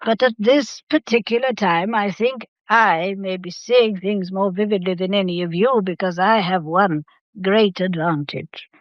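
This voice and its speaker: An actress playing a weary, shrewd old matriarch in a period drama, measured, formal and commanding. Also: female voice, medium pitch, no room sound, dull audio, lightly acted. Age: older. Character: imperious old family matriarch, weary, shrewd, coolly composed. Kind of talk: period radio drama acting.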